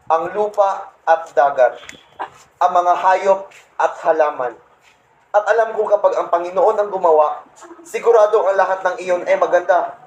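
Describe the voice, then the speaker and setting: Satan for a comic radio play, acted by a young man: high voice, pompous, bitter, scornful, in an echoey room. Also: muffled recording. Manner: pompous, scornful, punctuated with cackling laughs. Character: boastful, resentful male Satan, fallen angel leader of demons. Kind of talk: comedic radio play acting. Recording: roomy echo, faint background noise.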